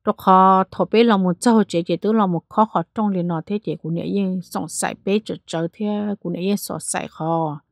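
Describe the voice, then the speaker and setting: A woman narrating a spooky tale in a lively storytelling manner, changing pace and loudness as she goes. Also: mid-range voice, normal speed, vocal fry, few pauses, no room sound, studio quality. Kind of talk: spoken ghost story narration.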